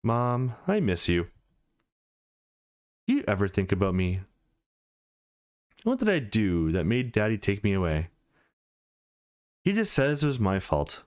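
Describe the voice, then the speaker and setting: A man's voice: fairly low pitch, big pitch swings, unhurried, pauses often, darkish tone, dry recording, studio quality. A man voicing a timid, wounded young schoolgirl in a visual novel, hesitant and pleading. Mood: sad, apologetic.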